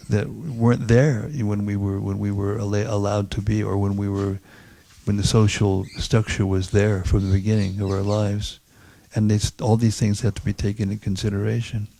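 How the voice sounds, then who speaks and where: A man with a low, creaky voice speaks as a religious teacher in a discussion. His tone is dark and relaxed, and he explains things in a conversational way. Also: normal speed. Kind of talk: informal spiritual discourse.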